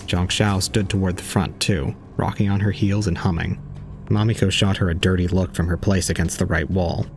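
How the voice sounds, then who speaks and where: A man's voice: low-pitched, steady tone, normal speed, creaky, soft background music. A man playing an aloof young man, narrating an audio drama in a formal, detached, cold way. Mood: brooding, detached.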